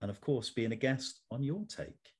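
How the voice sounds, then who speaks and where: A middle-aged man hosting a conversational interview in a measured, polite, slightly formal manner. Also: mid-range voice, big pitch swings. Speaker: interview host.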